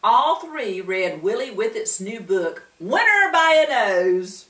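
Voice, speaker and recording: A woman reads a children's story slowly, her voice swooping up and down with expression and pausing to speak to the listeners. She sounds a little distant, in a room with plenty of echo. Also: medium pitch. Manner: expressive, slow, with asides to listeners. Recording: roomy room, distant mic.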